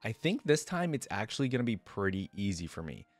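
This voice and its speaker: A man's voice: fairly low pitch, very animated, fairly quick, no echo. A man giving casual, off-the-cuff reaction commentary as a video host, talking in an enthusiastic, informal, slangy way.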